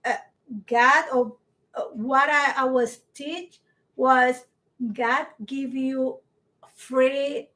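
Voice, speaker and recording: A woman's voice: high voice, steady tone, bright, forceful tone, low-quality recording. A woman co-hosting a casual chat in English, which is not her first language, arguing earnestly and emphatically with frequent restarts. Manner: earnest, emphatic, halting non-native English with many restarts. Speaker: podcast co-host.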